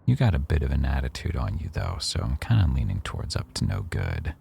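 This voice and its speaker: A man playing a rough-edged mafia boss who owns a cafe, teasing, probing and quietly threatening, in a boyfriend ASMR roleplay. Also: very deep voice, wildly swooping pitch, a little fast, slight background noise, full character voice. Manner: teasing, probing, smugly self-assured.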